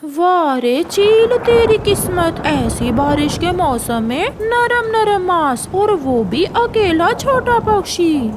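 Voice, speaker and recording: A hunting mother eagle from a cartoon played by a woman, high and slow. Her words are drawn out and lively in pitch, over clear noise. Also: heavily acted. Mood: gloating. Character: predatory mother eagle, menacing yet doting on her son.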